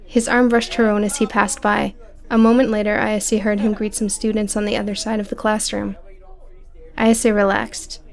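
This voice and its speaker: A woman's voice: medium pitch, flat delivery, normal speed, vocal fry. A woman narrating an audiobook story in a scripted, dramatic storytelling style.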